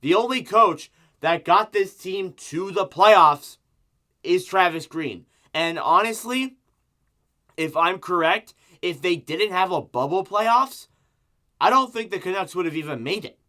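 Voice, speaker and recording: A man's voice: high voice, expressive, a little slow, bright, forceful tone. A man with a sore throat from strep giving an emphatic, exasperated, opinionated sports rant as a fan making an online video. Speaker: hockey fan YouTuber.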